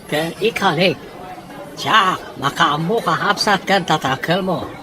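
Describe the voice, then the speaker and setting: A woman playing a flattering, persistent foreign male suitor with halting, accented speech in an audio drama. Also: lowish voice, music in the background, crowd noise, close to an everyday voice. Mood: flirtatious.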